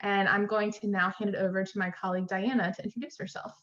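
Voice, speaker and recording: A woman's voice: medium pitch, little pitch movement, quick pace, a bit bright, a little hiss or hum. A woman hosting an online educational tour over a video call, giving a welcoming introduction to the audience. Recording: dull, lo-fi video call audio.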